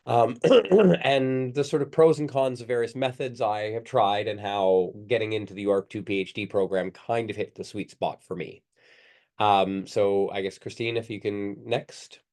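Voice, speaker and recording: A man who works as a computer science professor gives a workshop talk over a video call. His voice is croaky from a cold, and he hesitates with many ums. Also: lowish voice, darkish tone.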